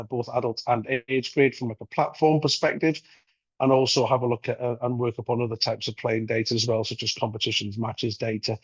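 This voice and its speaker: Croaky guy running a webinar on a video call, lots of ums, dull dry sound. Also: mid-range voice, no echo. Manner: many ums and uhs, steady explanatory delivery. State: croaky from a recent cold. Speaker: playing data manager presenting a webinar. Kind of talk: webinar presentation. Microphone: video call microphone.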